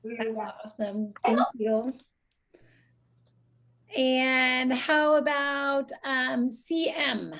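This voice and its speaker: A woman's voice: high voice, steady tone, extremely slow, long pauses, a bit bright, low-quality recording. A woman teacher casually moderating children's questions, thanking them and calling on the next one.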